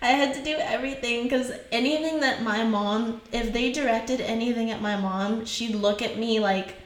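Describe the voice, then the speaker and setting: Young haitian-born woman hosting a podcast, bit high pitched, chatty and laughy, kinda echoey room. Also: moderate pace. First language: French and Haitian Creole. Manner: chatty, laughs often, lots of "like" fillers. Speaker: podcast host. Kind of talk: casual storytelling podcast.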